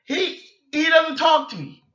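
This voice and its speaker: A man hosting a sports fan podcast, ranting off the cuff in a halting, repetitive, exasperated way. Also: extremely high pitch, expressive, bright, forceful tone. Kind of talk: unscripted sports fan rant. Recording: dull lo-fi sound, echoey room.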